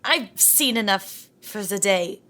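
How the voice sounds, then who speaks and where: A woman's voice: mid-range voice, lively intonation, slow, pushed and bright. A woman playing in a tabletop roleplay podcast, chatting casually and describing what her character does. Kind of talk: animated casual roleplay chat.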